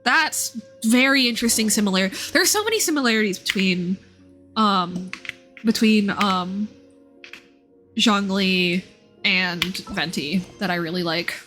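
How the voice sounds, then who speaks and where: A woman's voice: mid-range voice, measured pace, sharp tone. A woman chatting casually as a livestreamer, commenting on a game in an informal, talkative way and often circling back to rephrase. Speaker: streamer and VTuber model creator. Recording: game music playing behind the voice. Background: video game music.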